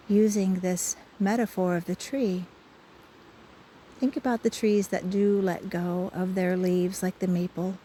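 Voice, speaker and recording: A woman leading a guided imagery session in a soothing, measured way with frequent pauses, recorded outdoors on a phone. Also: medium pitch, warm tone, low-quality recording. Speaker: guided imagery host and soul coach. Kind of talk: guided meditation talk. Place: outdoors by a river. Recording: faint outdoor ambient noise. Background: faint river ambience.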